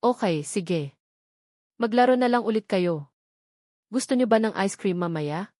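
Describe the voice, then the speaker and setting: A woman voicing a gentle, careful rich young man. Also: mid-range voice, lively intonation, measured pace, no room sound, studio quality, lightly acted.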